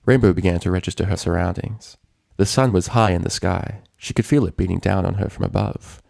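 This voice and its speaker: A man with a deep, creaky voice narrates a story from a script. The recording is close and dry, with very little room sound. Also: fairly quick, a little mellow, dry recording. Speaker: fan fiction reader.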